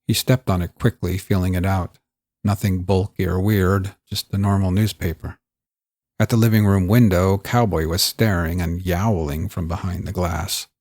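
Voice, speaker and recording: A man reading scripted crime fiction in a measured, audiobook-style storytelling delivery. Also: low-pitched, fairly even intonation, a little mellow, dry recording, studio quality. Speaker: narrator of a serialized crime story. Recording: music bed under the voice. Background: music.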